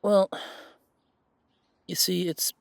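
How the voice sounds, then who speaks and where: A man's voice: high-pitched, level tone, extremely slow, gravelly, dry recording, heavily acted. A man playing an earnest, naive young werewolf in an audio roleplay, hesitant, defensive and eager to please. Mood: upset, evasive. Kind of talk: audio roleplay drama acting.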